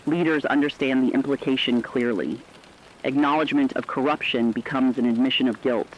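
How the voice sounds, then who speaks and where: A man's voice: medium pitch, a little fast, close to an everyday voice. A sober correspondent in a radio drama, performed by a man, reporting as war looms. His delivery is serious and emphatic, in clipped dramatic phrases. The sound is slightly dull, with faint rain-like noise behind it. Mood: sober, ominous. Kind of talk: scripted emergency radio news report.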